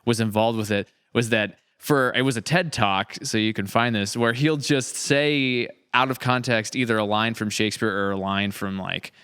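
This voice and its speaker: A man's voice: lowish voice, fairly even intonation, moderate pace, hardly any pauses, a bit bright, clean tone. A man hosting a podcast in casual conversation, talking in an enthusiastic, rambling and appreciative way. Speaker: podcast host, audio drama creator.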